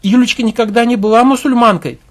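A man's voice: extremely high pitch, fairly even intonation, quick pace, voice pushed up. A man voicing a grieving widower who pleads and whines through tears. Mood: pleading. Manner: pleading, tearful, whining.

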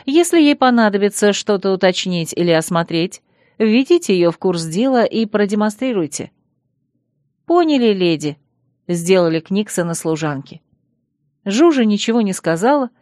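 A woman narrating a first-person fantasy novel in a measured, composed way. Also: medium pitch, a little mellow, dry recording, clean studio sound. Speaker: audiobook narrator.

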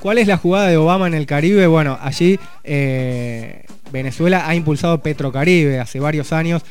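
A man hosting a radio program, chatting with co-hosts and reading regional news in a relaxed, conversational way. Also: a little high, normal speed, clear, resonant tone, soft background music. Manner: relaxed, conversational, reads news items with asides. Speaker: radio host. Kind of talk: community radio news chat.

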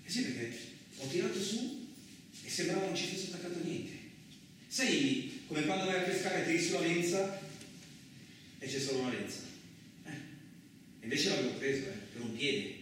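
A man's voice: on the high side, animated, moderate pace, pauses often, a bit bright. A man playing a boastful, hot-tempered truck driver, in a colloquial theatrical radio monologue full of muttered asides. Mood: boastful, confiding. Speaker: actor. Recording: very echoey, distant, lightly noisy room.

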